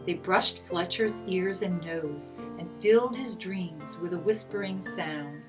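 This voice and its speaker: Slow-paced woman storyteller over guitar music. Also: fairly low pitch, poor audio. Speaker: storyteller reading aloud to children. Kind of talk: expressive scripted story narration.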